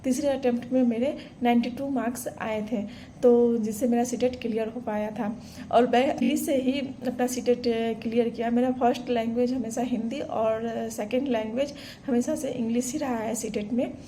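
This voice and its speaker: A woman's voice: fairly high pitch, fairly even intonation, moderate pace, warm tone, slight background noise. A woman, earnestly telling her own exam story to camera, full of fillers and restarts. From Bihar, India. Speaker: vlogger. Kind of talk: casual personal story to camera.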